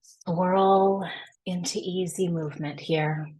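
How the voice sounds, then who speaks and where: Slow-paced woman guiding a body scan. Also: on the low side, steady tone, husky, low-quality recording. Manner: gentle, unhurried, reassuring instruction. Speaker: guided practice facilitator. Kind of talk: guided body scan meditation.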